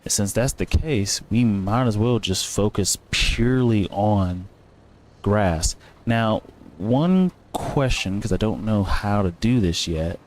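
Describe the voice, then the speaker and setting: A man's voice: fairly low pitch, expressive, slow pace, a little mellow, slight background noise. A man giving casual, rambling commentary as he plays a game, thinking aloud and correcting himself. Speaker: gaming streamer.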